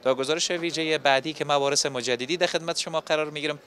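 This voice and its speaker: Bright-voiced young Afghan male TV reporter. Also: fairly high pitch, steady tone. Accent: Afghan Dari. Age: young adult. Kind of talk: on-location TV report and street interview. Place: open-fronted electronics shop on a market street. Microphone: handheld reporter microphone.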